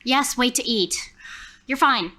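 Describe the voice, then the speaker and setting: A woman acts out an affectionate, earnest female camp character in an improvised game. She sounds awkward and sincere, in a bright voice. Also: on the high side, slow. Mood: awkward, apologetic.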